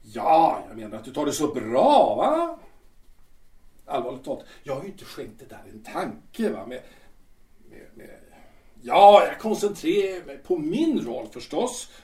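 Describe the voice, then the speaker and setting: A vain, brash musical star, played by a man in an audio drama, who stammers, repeats himself and tags a "va?" onto his lines. His voice sits fairly high and swings a lot in pitch. He sounds set back from the microphone in an echoey room. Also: big pitch swings, mellow, far from the mic. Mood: chatty. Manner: boastful, stammering, ends lines with "va?".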